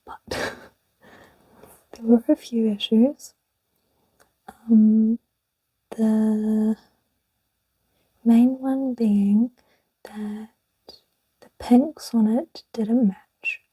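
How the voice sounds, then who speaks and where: Young woman, soft unvoiced whisper, unhurried, mellow dark tone, close and dry mic. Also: a little high, level tone, husky, no room sound, rough recording. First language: English. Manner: rambling and chatty, with little laughs. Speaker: ASMR channel host. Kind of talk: whispered chatty ASMR.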